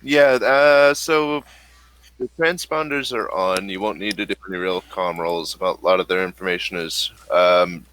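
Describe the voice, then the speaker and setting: A man running a tabletop game as game master, reading out coordinates slowly and pausing to search his notes. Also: deep voice, fairly bright tone, no echo, rough recording. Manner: slow, hesitant, searching his notes aloud.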